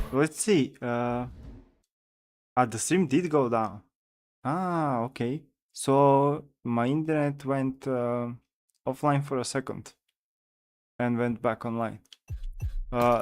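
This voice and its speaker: A man from Europe streaming a racing game, chatting casually and searching for his words as he plays. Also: medium pitch. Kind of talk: casual live-stream commentary while driving.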